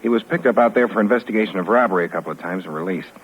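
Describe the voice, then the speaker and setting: A man acts a weary American police sergeant in a 1950s radio crime drama. He talks quickly and flatly, giving facts without fuss. The old recording is dull, with some background noise. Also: deep voice, natural voice. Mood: businesslike.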